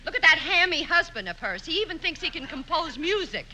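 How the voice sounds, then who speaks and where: A tart, bossy neighbor wife. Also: female voice, very high-pitched, very animated, fairly quick, full character voice. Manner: tart, sharp-tongued. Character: brassy, bossy middle-aged neighbor wife. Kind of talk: 1940s radio sitcom acting. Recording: hissy old radio broadcast.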